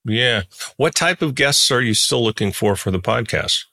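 A man's voice: medium pitch, expressive, normal speed, creaky, bright, forceful tone, no room sound, clean studio sound. A man in his sixties hosting a podcast in casual interview chat, with a rambling, anecdotal way of talking. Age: 60s. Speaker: podcast host.

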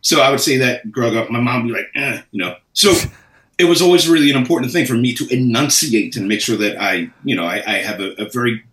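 A relaxed man with a slight Philadelphia accent tells stories in loose, slangy talk. He sits a little away from the microphone, and the room gives his voice a roomy echo. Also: medium pitch, fast, far from the mic. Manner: laid-back, laughs often, slangy. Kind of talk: casual chat.

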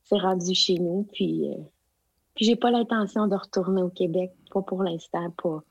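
A woman's voice: lowish voice, steady tone, normal speed, breathy edge, no echo, dull audio. Quebec French woman in her forties, hesitant, conversational, dry close sound. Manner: hesitant, many fillers and restarts. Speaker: guest, provincial education consultant. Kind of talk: casual interview conversation.

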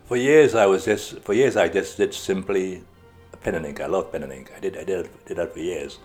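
An older man from Trinidad, talking as an interview guest in a halting way, repeating words and laughing often. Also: deep voice, darkish tone, soft background music. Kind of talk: casual interview talk.

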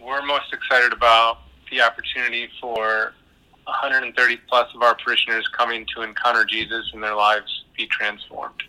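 A man's voice: mid-range voice, flat delivery, normal speed, pushed and bright, low-quality recording. A man on parish staff chatting casually over a speakerphone call from an office. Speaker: parish staff member, phone guest. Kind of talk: casual phone chat. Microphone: phone on speaker.

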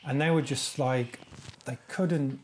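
A man in his forties with a middle-pitched voice answers interview questions in a studio. He speaks in a calm, easygoing way, pausing with small fillers as he thinks. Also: a little hiss or hum. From East Midlands, England. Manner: easygoing, thoughtful, hesitant fillers. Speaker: illustrator and artist guest. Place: podcast studio.

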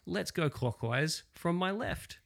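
A man's voice: fairly high pitch, animated, takes it slowly, creaky, fairly bright tone. An Australian man hosting a casual group podcast, chatty and self-deprecating, laughing often. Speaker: podcast host.

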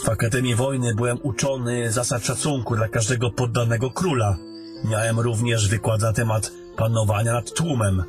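A man's voice: mid-range voice, level tone, normal speed, lightly acted. A man playing a young, earnest army captain in a fantasy audio drama, curious and questioning in manner. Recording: music under the voice.

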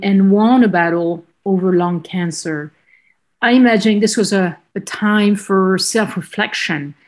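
A woman's voice: mid-range voice, a little mellow. A woman hosting an interview podcast, delivering prepared introductions and questions.

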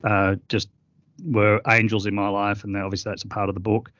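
Deep, flat-voiced middle-aged man. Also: dry recording, dull audio. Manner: earnest, halting with many fillers. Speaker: author and interview guest. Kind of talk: reflective interview conversation.

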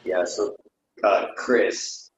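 A man, a playwright, casually discussing his work in a hesitant, halting way. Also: low voice, steady tone. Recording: muffled, echoey room audio.

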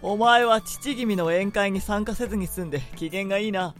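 A gruff, bossy young man, acted by a woman gives curt radio-drama lines at a middling pitch. He is short-tempered and brusque, and music plays behind him. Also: normal speed, natural voice. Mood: calm, wry.

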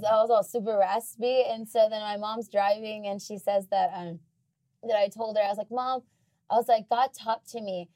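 A young woman with a mellow, soft tone, talking about faith in a chatty way full of fillers. Her voice jumps higher when she gets excited. The sound is dry and close. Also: no room sound. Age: young adult. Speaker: missionary. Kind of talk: casual faith conversation.

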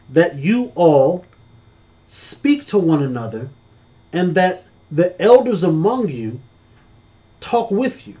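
A man's voice: sits high, mellow. A man co-hosting a casual church-talk podcast, speaking earnestly with a preacherly cadence.

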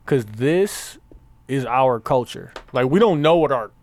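A man co-hosting a podcast, talking in an earnest, passionate way with fast run-on sentences. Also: medium pitch, expressive. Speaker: podcast co-host. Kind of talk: casual group chat.

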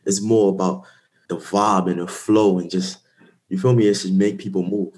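Laid-back New rapper, low voice. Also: male voice, fairly even intonation, moderate pace, a little mellow, a little hiss or hum. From Guyana. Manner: laid-back, says "you feel me" a lot. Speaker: rapper guest. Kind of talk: casual interview chat.

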